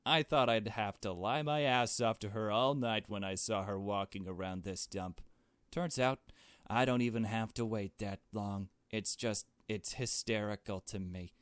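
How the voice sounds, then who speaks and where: A man's voice: lowish voice, clear, resonant tone, no echo, close to an everyday voice. A man voicing a manic, menacing young female villain in a visual novel, taunting and foul-mouthed. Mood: mocking glee. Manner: taunting, drawn-out mocking delivery. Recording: music under the voice.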